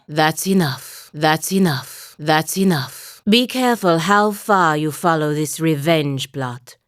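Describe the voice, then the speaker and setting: A proud, dutiful female warrior from a fantasy video game. Her voice sits low and stays measured, with formal phrasing and a wry, stern edge. The sound is close and dry, with very little room around it. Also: no room sound. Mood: stern warning. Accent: Valian, a lightly Italian-sounding fantasy accent. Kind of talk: scripted game dialogue, voice lines and barks.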